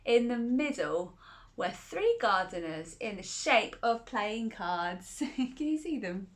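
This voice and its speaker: A woman's voice: medium pitch, very animated, slow pace. A woman reading a children's picture book aloud, chuckling often and asking the listener questions. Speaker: children's storyteller.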